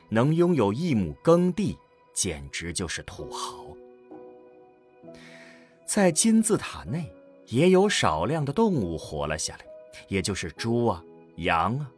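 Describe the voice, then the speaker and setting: A man narrating a scripted science-fiction audio drama in a dramatic storytelling style. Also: mid-range voice. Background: faint background music.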